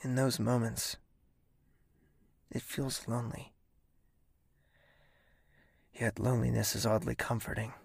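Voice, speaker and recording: An actor playing a melancholic, lovelorn insomniac in a fantasy audio drama, narrating pensively in a hushed, slightly husky voice. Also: male voice, mid-range voice, flat delivery, slow, long pauses, dry recording, full character voice. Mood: melancholic, searching. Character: melancholic lovelorn insomniac man of a royal castle.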